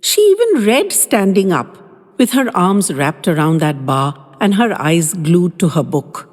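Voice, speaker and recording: A woman reading a first-person love story aloud in an expressive, lively storytelling manner. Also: on the low side, measured pace. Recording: long reverb with faint guitar music.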